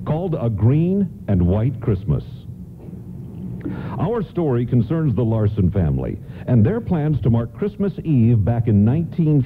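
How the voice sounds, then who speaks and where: A man's voice: medium pitch, a little hiss or hum. A man announcing and narrating a scripted radio program. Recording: tinny narrow-band radio broadcast. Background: prominent music.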